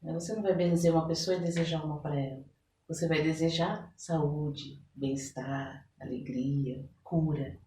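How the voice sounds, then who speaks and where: A woman's voice: sits low, fairly even intonation, moderate pace, warm tone. A woman with a Brazilian Portuguese accent explaining her practice in a conversational interview, reflective and often checking for agreement. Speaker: interview guest, holistic therapist and folk blesser. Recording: echoey room, distant mic, faint background noise.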